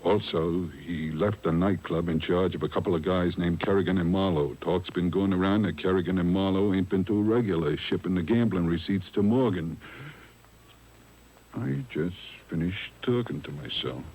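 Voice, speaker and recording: An actor playing a tough, curt, cagey bartender with slangy speech in a 1950s radio crime drama. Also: male voice, low voice, moderate pace, darkish tone, slightly rough, poor audio. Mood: guarded. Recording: old radio broadcast taped to cassette.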